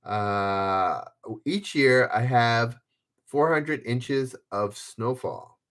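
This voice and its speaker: A man's voice: mid-range voice, extremely slow, fairly bright tone. A man hosting a trivia livestream, reading clues in the first person and chatting casually with viewers by name.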